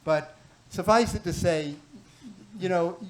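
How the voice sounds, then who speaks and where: A man hosting a health panel at a clinic event, asking questions haltingly with many fillers and restarts. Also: high voice, poor audio. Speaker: panel host, clinic doctor. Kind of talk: moderating a live health panel.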